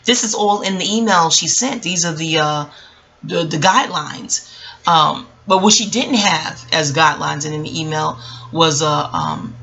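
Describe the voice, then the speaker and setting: A woman speaking casually and reflectively about her own experience, rambling with emphatic pauses and frequent self-corrections. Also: low-pitched, bright, forceful tone. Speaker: vlogger. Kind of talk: casual reflective personal storytelling.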